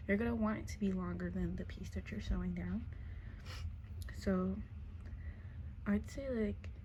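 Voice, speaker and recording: A soft-spoken woman walks through a crochet project at her worktable, her breathy voice half-whispered because it is going. Her tone is dark and mellow, dotted with fillers and gentle "okay?" check-ins. Faint background noise sits behind her. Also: mid-range voice, steady tone, measured pace, lo-fi sound. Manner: soft-spoken, counts stitches aloud, many fillers like "like" and "okay?". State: losing her voice, partly whispering. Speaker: crochet tutorial maker. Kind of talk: casual step-by-step craft tutorial.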